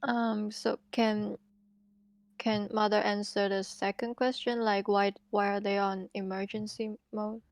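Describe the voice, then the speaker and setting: A woman's voice: medium pitch, little pitch movement, a little slow, dry recording. A woman playing a tabletop roleplaying game, casually asking questions and chatting with the group.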